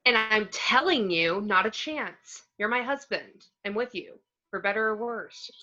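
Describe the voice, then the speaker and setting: A woman playing a feisty, determined superhero wife and mother in a dramatic read of an animated film script, forceful and insistent. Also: mid-range voice, a little slow, highs cut off. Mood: indignant.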